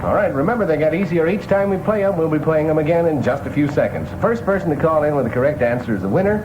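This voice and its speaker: A man's voice: a little high, fast talker, background noise. An American man doing playful, wisecracking disc jockey patter on 1960s top-forty radio. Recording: dull vintage radio aircheck. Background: loud music.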